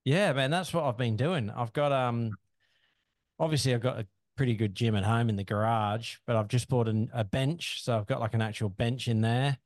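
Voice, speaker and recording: A man's voice: mid-range voice, moderate pace, dry recording. Laid-back man, dry recording. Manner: laid-back, lots of fillers, ends with "eh?". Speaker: podcast co-host. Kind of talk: casual chat.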